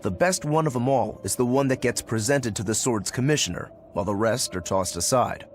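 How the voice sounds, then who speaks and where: A man's voice: mid-range voice, fairly quick, faint music behind, lightly acted. A man playing an earnest, easygoing, determined young swordsman in a video game, speaking in a straightforward way. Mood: explaining, earnest. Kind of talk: English video game dub acting.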